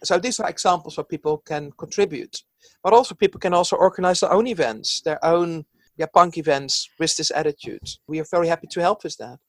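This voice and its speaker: A man from the Netherlands with a Dutch accent talks in an earnest, thoughtful way, sharing his views as an interview guest. His voice sits fairly high for a man. The sound is dry, with no room around it. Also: fairly quick, no room sound. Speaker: psychologist guest. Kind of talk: reflective interview talk.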